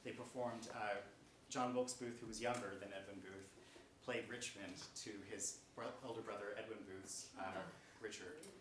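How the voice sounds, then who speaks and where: A man moderating a public theatre question-and-answer session, phrasing his thoughts haltingly and thoughtfully. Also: fairly low pitch, steady tone, crowd noise. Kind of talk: public Q&A discussion. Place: stage of a mid-sized theatre auditorium. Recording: echoey theatre, distant mic, noisy.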